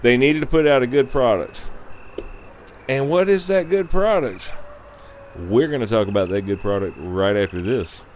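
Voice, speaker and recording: A man's voice: medium pitch, moderate pace, darkish tone, a little hiss or hum. A man in his thirties hosting a show, giving a blunt, profane, casual rant. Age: 30s. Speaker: podcast host. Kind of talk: casual opinionated gaming rant.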